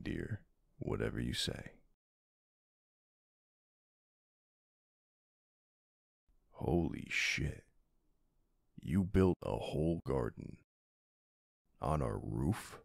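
A man playing a tough but soft-hearted mafia boss husband in an intimate ASMR roleplay, murmuring tenderly with a very deep, gravelly voice. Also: dry recording, studio quality, heavily acted. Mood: easygoing, pleased.

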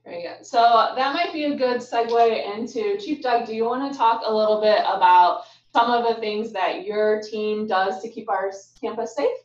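A woman's voice: on the high side, normal speed, few pauses, recorded from a distance. A young adult woman hosting a webinar in a friendly, upbeat way with lots of "um"s. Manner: upbeat, with frequent "um" fillers. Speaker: webinar host, international affairs staff. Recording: muffled, roomy, from off the mic.

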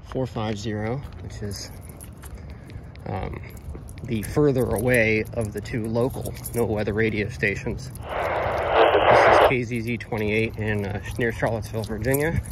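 A man with an American accent casually demonstrating gear as a presenter, rambling and correcting himself, recorded outdoors on a phone. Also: on the low side. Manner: hesitant, rambling, self-correcting. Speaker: radio hobbyist presenter. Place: outdoors on a roadside sidewalk. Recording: noisy outdoor recording with traffic.

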